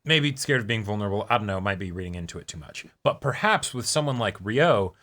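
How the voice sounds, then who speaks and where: A man's voice: on the low side, animated, quick pace, fairly bright tone. A man co-hosting an anime reaction show, chatting casually and hedging his theories as he goes. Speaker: co-host of an anime reaction channel. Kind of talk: casual discussion of an anime episode.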